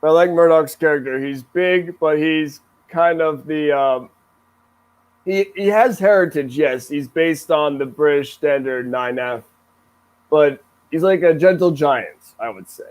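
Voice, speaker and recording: A man's voice: fairly high pitch, unhurried, low-quality recording. A man chatting casually as a guest on a live stream, talking about trains, laughing often and restarting his sentences. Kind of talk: casual chat.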